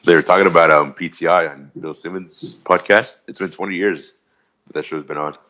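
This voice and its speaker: A man's voice: low-pitched, fairly even intonation, normal speed, sharp tone. A man co-hosting a podcast in casual chat, making dry, teasing remarks. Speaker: podcast co-host.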